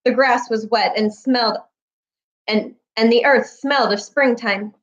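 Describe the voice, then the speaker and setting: Woman read-aloud host for children's books, higher-pitched voice, slightly lo-fi sound. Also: a little slow.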